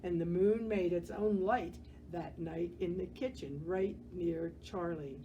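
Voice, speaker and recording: A woman reading a children's picture book aloud as a library storyteller, gentle and expressive, pausing to comment on the pictures. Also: lowish voice, muffled recording, noisy background.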